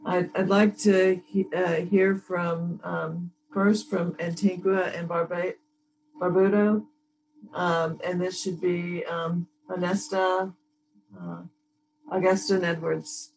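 Gravelly-voiced woman hosting a video call. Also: fairly low pitch, little pitch movement, slow, darkish tone, a little hiss or hum, low-quality recording. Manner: friendly, thanks each speaker. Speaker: workshop host.

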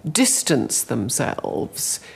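A woman's voice: a bass voice, sing-song, drawn-out words, creaky, breathy edge. A woman speaking as an expert in an interview, explaining in commentary.

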